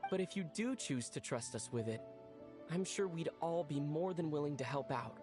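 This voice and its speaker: A man playing a gentle grown-up with a somewhat high, calm and soothing voice. Clear background music plays behind him in a game cutscene. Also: normal speed, lightly acted. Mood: reassuring.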